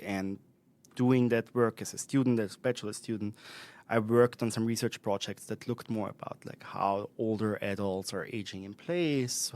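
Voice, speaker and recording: A man with an Austrian accent speaks as a guest expert in a conversational interview, thinking aloud with frequent fillers and self-corrections. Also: lowish voice. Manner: thoughtful, with many fillers and restarts.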